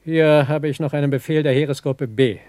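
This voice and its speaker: Actor as a brisk German general, dry sound. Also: male voice, a little high, steady tone, a little mellow, dry recording, close to an everyday voice. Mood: brisk, commanding. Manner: clipped, authoritative, matter-of-fact military delivery. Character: brisk German lieutenant general commanding a bridgehead. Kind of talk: 1960s German radio play acting.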